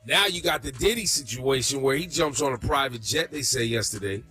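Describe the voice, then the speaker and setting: A man's voice: medium pitch, animated, fairly quick, sharp tone. A man talking loosely and slangily in a casual podcast chat.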